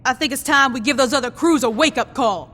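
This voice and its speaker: A woman's voice: high-pitched, brisk, in a large hall, very noisy, lightly acted. A woman voicing a tough, foul-mouthed female gang boss in a video game cutscene, blunt and sarcastic. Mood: commanding. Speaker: gang leader player character.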